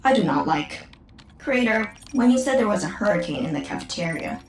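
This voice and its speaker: A woman's voice: mid-range voice, lively intonation, a little fast, echoey room, recorded from a distance, crowd noise, close to an everyday voice. A woman voicing a new female android who speaks in precise, formal phrases.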